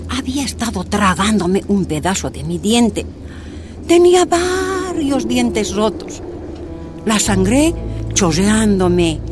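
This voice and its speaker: An actress playing a tortured woman activist, recounting her imprisonment in anguished, emphatic first-person narration. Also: on the high side. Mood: pained.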